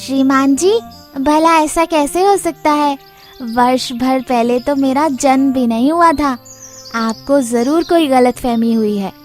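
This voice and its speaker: A woman's voice: sits high, soft background music. A woman voicing a small, innocent, frightened young lamb in a children's story, meek, polite and pleading. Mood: nervous, protesting. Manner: meek, pleading, slow and deferential.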